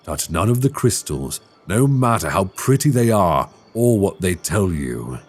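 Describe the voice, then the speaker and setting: Man acting a very deep, husky dragon king. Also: sing-song, measured pace, full character voice. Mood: gentle, explaining. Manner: courtly, teasing, gently formal phrasing. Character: ancient male dragon king, tender, wry, doting lover. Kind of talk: intimate ASMR audio roleplay. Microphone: close binaural microphone.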